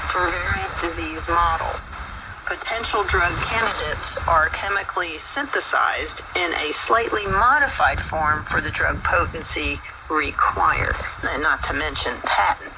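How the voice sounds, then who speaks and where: Male radio host, higher-pitched, folksy and halting explainer, buried in loud shortwave static. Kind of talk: informal explanatory talk on a broadcast, reading quotes aloud. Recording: very noisy shortwave radio broadcast, distant.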